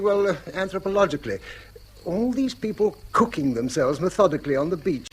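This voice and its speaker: An actor playing an earnest, donnish middle-aged schoolmaster in a radio play, courteous and a little hesitant. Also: male voice, high voice, husky, heavily acted. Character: weary, bookish middle-aged schoolmaster, earnest and gently pedantic.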